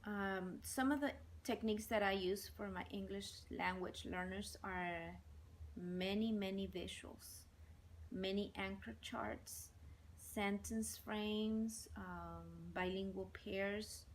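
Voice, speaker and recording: A woman in her forties whose first language is Spanish, a bilingual teacher giving measured interview answers and listing points one by one. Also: medium pitch, a little hiss or hum.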